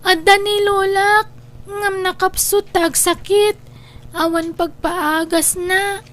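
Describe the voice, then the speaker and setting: A very high, girlish voice from a woman actor plays a poor young elder sister in an Ilocano audio drama. She is earnest, respectful and pleading, with an Ilocano accent. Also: fairly even intonation, slow, a bit bright, full character voice.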